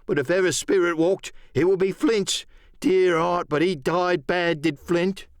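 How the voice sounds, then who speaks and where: High, spooked pirate. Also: male voice, steady tone, measured pace. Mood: spooked, awed. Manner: rustic sailor dialect, theatrical. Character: bandaged pirate, superstitious and fearful. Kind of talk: audiobook character acting.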